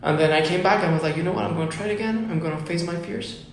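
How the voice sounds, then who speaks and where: A young man from Ecuador, a musician guest chatting casually on a podcast, excited and saying "dude" often. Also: on the high side, very fast, slight background noise. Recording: very echoey room.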